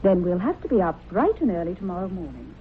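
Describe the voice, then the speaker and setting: Poised radio-drama wife, tinny recording. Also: female voice, lowish voice, very animated, fast talker, slight background noise. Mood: brisk, sensible. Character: poised, inquisitive woman scriptwriter, sensible wife. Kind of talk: vintage radio drama acting.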